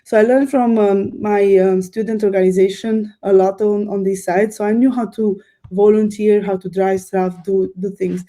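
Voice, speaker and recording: A woman's voice: mid-range voice, fairly even intonation, warm tone. A woman in her thirties with a Romanian accent, a guest telling stories eagerly in a casual interview. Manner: fast, eager storytelling with fillers.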